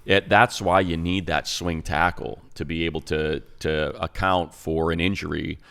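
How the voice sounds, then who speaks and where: A man's voice: sits low, steady tone, measured pace, creaky, a bit bright, clear, resonant tone. An American man co-hosting a sports talk show, a radio announcer breaking down team news conversationally, thinking aloud with restarts. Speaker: radio play-by-play announcer and co-host.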